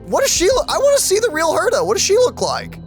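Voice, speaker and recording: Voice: very high-pitched, wildly swooping pitch, fast talker, vocal fry. A let's play co-host chatting casually and reacting to a game. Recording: game music behind.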